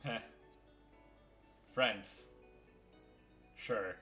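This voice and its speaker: A man's voice: fairly low pitch, drawn-out words, bright, forceful tone, reverberant, soft background music, exaggerated voice. A man voicing an earnest, awkward teenage boy with hesitant delivery in a video game fandub. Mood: shy, relieved.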